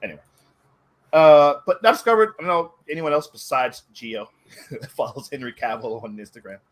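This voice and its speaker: A man with a medium-pitched voice talks casually on a movie panel. He sounds keen and tends to wander off into asides. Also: animated, normal speed. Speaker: panelist on a movie talk show. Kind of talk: casual rambling chat.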